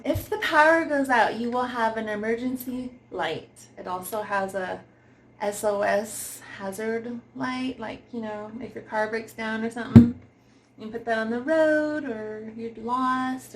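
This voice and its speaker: Higher-voiced woman chatting through a casual review. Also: fairly even intonation, unhurried, slight background noise. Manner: informal, giggly, hesitant and searching for words. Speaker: product reviewer and host. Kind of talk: casual unscripted product review chat.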